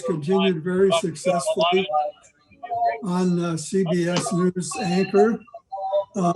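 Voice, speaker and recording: An older man giving an informal history talk on a video call, rambling along with halting digressions. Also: high voice, rough recording. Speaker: club member giving a presentation. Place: video meeting from home.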